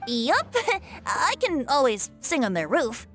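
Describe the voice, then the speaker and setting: A woman's voice: high voice, wildly swooping pitch, takes it slowly, fairly bright tone, heavily acted. A woman voicing a playful, easygoing young male bard in a video game cutscene, with a teasing, lilting, theatrical delivery. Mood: cheerful teasing. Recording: background music under voice.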